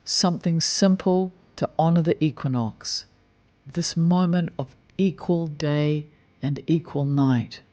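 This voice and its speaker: Slow, soothing man guiding meditation. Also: a little high, no room sound. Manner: slow, soft and soothing, counting breaths gently. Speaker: meditation guide. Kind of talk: guided meditation.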